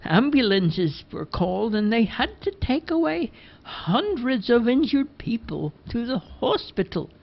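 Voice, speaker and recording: A man voicing a nosy, fussy older woman from a village, with a slow, dramatic way of gossiping. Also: very high voice, voice pushed up, heavily acted. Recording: dull lo-fi recording.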